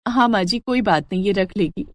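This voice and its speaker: A woman's voice: medium pitch, a little fast, no room sound, clean studio sound, close to an everyday voice. A woman voicing a sweet, obliging young wife in a family story, polite in manner.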